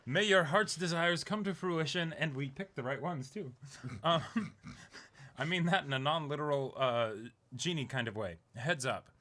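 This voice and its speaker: A man's voice: on the high side, expressive, moderate pace, bright, forceful tone. A young man co-hosting, reading aloud casually and breaking into easygoing, chatty asides. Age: young adult. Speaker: co-host of an unboxing show. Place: small indoor room.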